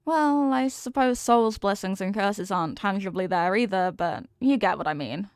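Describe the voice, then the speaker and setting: A woman's voice: medium pitch, expressive, moderate pace, smooth, no room sound, studio quality, natural voice. A woman playing a sweet, clumsy, centuries-old headless horsewoman girlfriend in an affectionate, sheepish, rambling way for an intimate ASMR roleplay. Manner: soft-hearted, sheepish, affectionate, rambling explanations.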